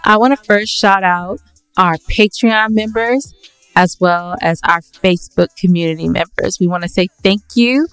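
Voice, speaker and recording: A woman's voice: mid-range voice, moderate pace, clean tone, no echo, soft background music. A woman co-hosting a podcast in a casual, playful, teasing way. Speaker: podcast co-host. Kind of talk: casual banter between co-hosts.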